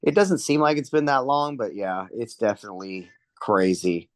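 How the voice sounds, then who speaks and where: A man's voice: fairly low pitch, lively intonation, normal speed, no room sound, studio quality. A man chatting casually in an interview as a touring musician, with slangy, informal phrasing. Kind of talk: casual chat.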